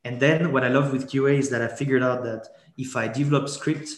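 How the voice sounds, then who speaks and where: French-accented guy guesting on a podcast, middle pitch, rambly with lots of restarts, echoey room. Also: fairly even intonation, brisk. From born in Geneva to French parents. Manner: rambling, many restarts and fillers. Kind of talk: casual podcast interview.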